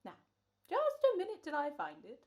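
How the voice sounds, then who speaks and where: Woman playing a high, eccentric old salesman. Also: huge pitch swings, moderate pace, exaggerated voice. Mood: eager sales patter. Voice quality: hesitant, folksy phrasing. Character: eccentric, polite old gentleman peddling coffins. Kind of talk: old-time radio comedy-horror acting.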